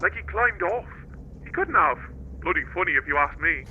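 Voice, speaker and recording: An actor playing a puzzled, brusque old cab company boss, heard over a cab radio in a radio drama. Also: male voice, high-pitched, lively intonation, moderate pace, bright, forceful tone, a little hiss or hum, exaggerated voice. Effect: cab radio filter. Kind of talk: naturalistic radio drama acting. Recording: tinny, narrow cab radio line.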